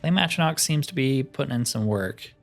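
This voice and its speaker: A man hosts a gaming video in an ordinary mid-pitched voice, with music playing faintly behind him. He talks through his play casually, thinking aloud with wry grumbles. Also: expressive, a little fast, creaky. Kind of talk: casual let's play commentary.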